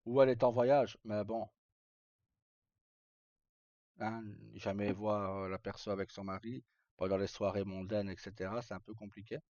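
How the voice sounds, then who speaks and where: A man's voice: lowish voice, steady tone, a little fast, darkish tone. A man chatting casually to live viewers as a streamer, rambling and hesitant in colloquial speech with some swearing. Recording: dull lo-fi mic in echoey room.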